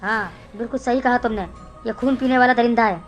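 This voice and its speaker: A woman playing a grave, insistent male doctor from the city in an animated horror story. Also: on the high side, brisk, soft background music, close to an everyday voice. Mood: determined, secretive. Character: suspicious, determined male city doctor investigating villain.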